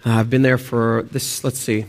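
A man's voice: mid-range voice, little pitch movement, normal speed. A young adult man with a Texan accent, preaching a sermon as a student pastor in church, earnest and dotted with "uh" fillers. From Texas and Oklahoma. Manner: earnest, many "uh" fillers, rising emphasis on key points. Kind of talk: sermon with prayer. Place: church sanctuary.

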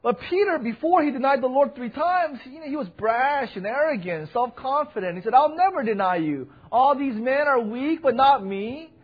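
A young man, a pastor, preaching with earnest emphasis and a loud voice, swelling to fervent peaks. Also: very high voice, animated, normal speed, few pauses, slightly rough, muffled recording. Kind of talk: sermon with personal report.

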